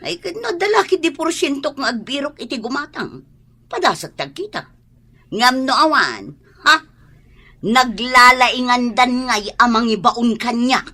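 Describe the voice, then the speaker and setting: Sharp-tongued wife and mother, bright forceful voice, Filipino accent, radio drama. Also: high voice, lively intonation, measured pace, full character voice. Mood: grudging. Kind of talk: Ilocano audio drama acting.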